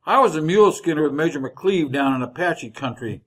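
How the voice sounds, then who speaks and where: An actor playing a chatty, folksy older wagon driver with a rural Western American drawl in an old-time radio Western. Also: male voice, on the high side, expressive, fairly quick, a bit bright. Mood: amused, rambling. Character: garrulous leathery old frontier wagon driver, folksy and cheerful.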